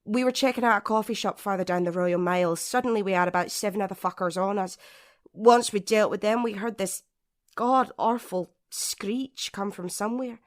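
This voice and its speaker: A woman performs a tough survivor in an audio drama. She is matter-of-fact and wary, with a middling pitch and blunt delivery. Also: moderate pace, close to an everyday voice. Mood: wary recounting.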